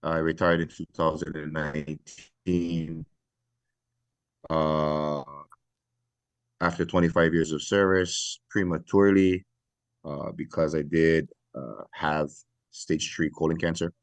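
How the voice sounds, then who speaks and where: A middle-aged man from Hawaii, a retired fire battalion chief, speaking earnestly and reflectively with many pauses in a podcast interview. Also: a bass voice, level tone, dry recording.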